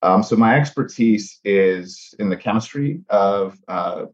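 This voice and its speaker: Deep voiced guy in his 30s from mexico and texas, chatty podcast guest, lots of uhs, kinda dull sound. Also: unhurried. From born in Mexico, raised in South Texas. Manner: frequent "uh" fillers, animated and friendly. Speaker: podcast guest, air pollution scientist. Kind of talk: casual interview talk.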